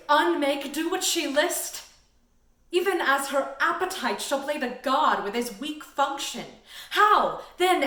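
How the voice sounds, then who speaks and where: Woman acting a slow, scheming Shakespearean villain. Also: high-pitched, a bit bright, full character voice. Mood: defiant, gloating. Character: scheming, gloating male villain in Shakespearean tragedy. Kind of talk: classical Shakespearean stage soliloquy. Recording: roomy echo, distant microphone.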